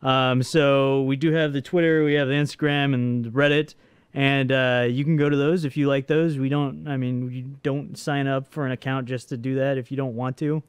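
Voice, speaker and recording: A man co-hosting a gaming channel, talking in an easygoing, halting way with many fillers and restarts. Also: medium pitch, fairly even intonation, smooth, no echo. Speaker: co-host of a gaming channel, programmer. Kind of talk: casual banter over gameplay.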